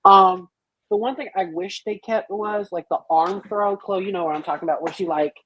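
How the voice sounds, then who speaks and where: Husky woman, slangy and salty-tongued. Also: medium pitch, moderate pace, fairly bright tone, low-quality recording. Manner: profane, slangy, theatrical retellings. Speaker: podcast panelist reviewing a film. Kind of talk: casual animated chat.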